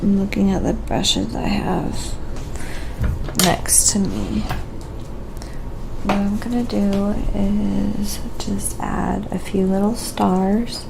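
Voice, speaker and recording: A woman hosting a casual live painting stream, talking quietly to viewers and thinking aloud step by step as she works. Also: mid-range voice, slow, vocal fry, noisy background.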